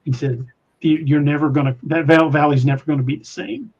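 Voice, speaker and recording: Give me a man with a twang and a slightly high voice, hosting a podcast and rambling through listener comments with lots of restarts. Dull, lo-fi recording. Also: fairly even intonation, brisk, breathy edge. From Missouri, USA. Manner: rambling, hesitant with many restarts. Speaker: podcast host. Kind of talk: casual live-stream chat reading comments.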